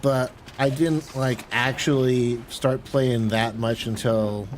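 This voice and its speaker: A man's voice: medium pitch, steady tone, slow. American guy rambling casually, noisy background. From United States. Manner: rambling, conversational. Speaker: game streamer. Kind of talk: casual livestream chat while gaming.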